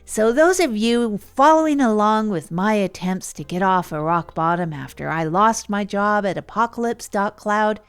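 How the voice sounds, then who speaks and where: A woman's voice: medium pitch, expressive, moderate pace, hardly any pauses, smooth, soft background music, close to an everyday voice. A woman playing an upbeat, awkward, down-on-their-luck local radio host in an improvised comedy audio drama, chatting informally and hesitantly. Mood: chatty, wry. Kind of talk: improvised comic monologue, chatty radio storytelling.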